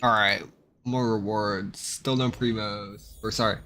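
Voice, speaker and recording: A man's voice: on the low side, steady tone, measured pace, pushed and bright, a little hiss or hum. A man giving casual, wry and joking commentary as he plays a video game. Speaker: gaming content creator.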